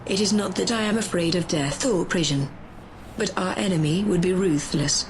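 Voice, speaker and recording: A woman's voice: lowish voice, normal speed, slight background noise, natural voice. A woman playing a bossy, menacing, power-hungry conspirator in a sci-fi audio drama. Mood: scheming.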